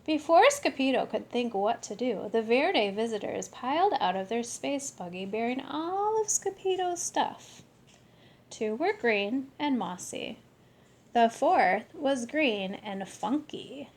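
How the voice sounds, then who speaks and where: Slow, high-voiced woman reading a storybook. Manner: animated storytelling with deliberate phrasing. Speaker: storybook reader. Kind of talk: expressive children's read-aloud.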